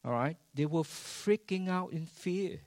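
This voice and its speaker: A man preaching a sermon in English. He swings between hushed lines and loud ones and keeps asking the congregation rhetorical questions. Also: fairly high pitch, unhurried, dry recording. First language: Chinese.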